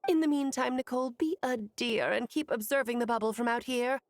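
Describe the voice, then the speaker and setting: A woman voicing a confident adult female mage who speaks in an airy, poised and gently bossy way in video game dialogue. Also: fairly high pitch, brisk, no room sound, clean studio sound, lightly acted. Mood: breezy.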